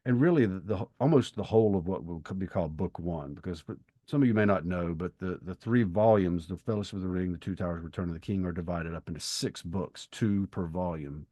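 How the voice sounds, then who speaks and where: A man in his fifties co-hosting a podcast, talking reflectively and thoughtfully with pauses between phrases. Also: sits low, mellow, no room sound. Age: 50s. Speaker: podcast co-host. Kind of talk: reflective conversational podcast talk.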